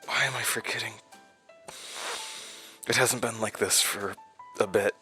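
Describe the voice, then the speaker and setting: Slow, halting, tormented man. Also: fairly low pitch, fairly even intonation, sharp tone, soft background music. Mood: dazed. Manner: halting, stammering, strained. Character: confused, tormented, forgetful man of frail, growing power. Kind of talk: horror audio drama acting.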